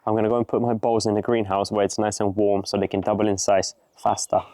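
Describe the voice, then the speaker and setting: A young man with a Bulgarian accent, casually explaining as he cooks outdoors in a garden, relaxed and matter-of-fact. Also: on the low side, fast, no room sound. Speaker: vlogger. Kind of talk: casual explaining while cooking.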